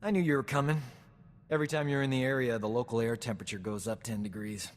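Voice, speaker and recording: An actor playing a cocky, flirtatious roguish combat medic in a video game, with a smug, suave, breezy delivery. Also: male voice, medium pitch, steady tone, fast talker, long reverb tail, close to an everyday voice. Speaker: combat medic companion character. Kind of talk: video game dialogue acting.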